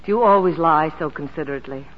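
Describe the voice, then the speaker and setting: An actress playing an anxious, sharp-witted, proud woman patient at a sanatorium, in 1940s radio drama style. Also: deep voice, fast talker. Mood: bitter, resigned. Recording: muffled 1940s radio broadcast, music behind.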